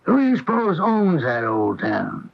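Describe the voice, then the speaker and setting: An actor playing a curious, easygoing American gold prospector with a folksy, questioning manner in a radio drama. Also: male voice, fairly high pitch, huge pitch swings, a little slow, creaky, exaggerated voice. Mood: curious, insistent. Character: easygoing small-town amateur gold prospector, curious and hopeful. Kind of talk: 1980 radio drama acting. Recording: dull lo-fi 1980 radio broadcast.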